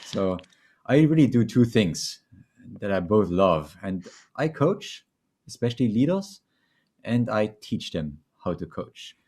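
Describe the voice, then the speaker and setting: A middle-aged man with a German accent, speaking as a webinar guest in a measured, reflective, conversational way. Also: fairly low pitch, big pitch swings, a little mellow. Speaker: leadership coach and trainer, webinar guest. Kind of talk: conversational self-introduction in a webinar.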